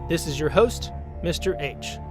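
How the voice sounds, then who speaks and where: Measured man hosting a radio theater. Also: fairly high pitch, animated, over music. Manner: measured, ominous. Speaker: host of a radio theater show. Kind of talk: scripted introduction.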